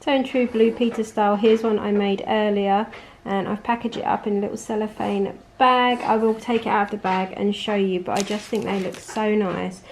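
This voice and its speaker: A woman in her forties with an English accent, hoarse from a cold, chatting upbeat through a home recipe tutorial. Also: medium pitch, level tone, moderate pace, a little hiss or hum, low-quality recording. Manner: chatty and upbeat, rambling asides. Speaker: home cooking vlogger.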